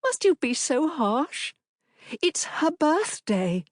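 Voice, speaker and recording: A woman's voice: high-pitched, very animated, slow pace, no room sound, studio quality, pitched above the performer's own voice, full character voice. A woman voicing a downtrodden, fragile wife and mother who speaks in a tremulous, pleading way.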